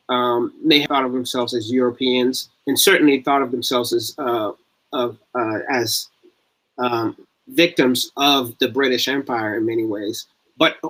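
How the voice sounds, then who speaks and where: A man speaking as an academic panelist, choosing formal words in a hesitant, searching way with frequent pauses. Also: mid-range voice, a bit bright, low-quality recording. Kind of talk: scholarly panel discussion.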